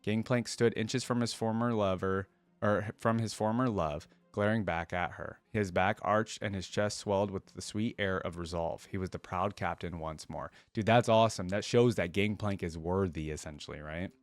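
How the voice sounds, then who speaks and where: A man's voice: low-pitched, steady tone, moderate pace, dry recording. A man hosting a reaction video, reading a fantasy story aloud and breaking off into casual, slangy commentary. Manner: informal, slangy asides.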